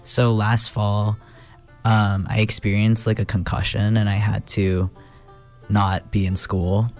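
Slow-talking young man guest. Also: on the low side, little pitch movement, darkish tone, faint music behind. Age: 20s. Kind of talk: casual interview answers.

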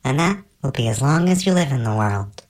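A man's voice: mid-range voice, animated, a little fast, roomy sound, pitched above the performer's own voice, lightly acted. A man voicing a tiny, cheerful winged female fairy spirit in a storybook, with a small sweet voice and a coaxing, arch lilt. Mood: reassuring.

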